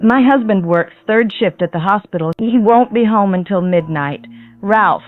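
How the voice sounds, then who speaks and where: A frightened woman, played by an actress calls a late-night show in a radio drama. Her medium-pitched voice comes thin and tinny down the phone, with music behind it. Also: normal speed, lightly acted. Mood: frightened, uneasy. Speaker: radio caller.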